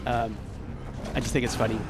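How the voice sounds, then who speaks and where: A man with a Texas accent giving casual, excitable voiceover commentary on his gameplay. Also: mid-range voice, a little fast, over loud music. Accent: Texan. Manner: chatty, excitable, casual with filler words. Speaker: gaming YouTuber. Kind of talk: let's play voiceover commentary.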